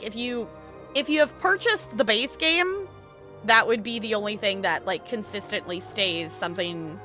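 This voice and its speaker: Chatty, bright-voiced woman streamer. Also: mid-range voice. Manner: chatty and rambling, laughs easily, talks to chat. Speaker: game streamer. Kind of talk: casual live-stream chat while gaming.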